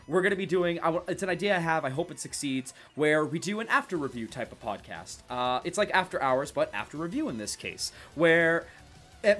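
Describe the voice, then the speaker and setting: A man's voice: a little high, fairly bright tone. A man hosting a casual podcast who rambles off the cuff with many fillers and restarts, and sounds a bit nasal from a head cold. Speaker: podcast host.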